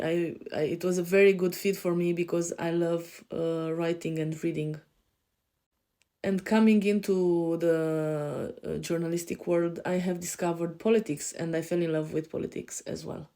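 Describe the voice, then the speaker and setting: Low, mellow-voiced Romanian woman. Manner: hesitant, many filler pauses. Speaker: life coach and podcast co-host. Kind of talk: personal introduction on a podcast.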